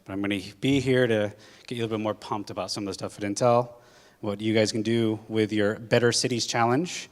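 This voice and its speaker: Low-voiced man in reverberant hall. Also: steady tone, fairly quick. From Hawaii. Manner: conversational, with many filler ums and uhs. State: jet-lagged. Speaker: guest speaker, corporate general manager. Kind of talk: casual public talk to students.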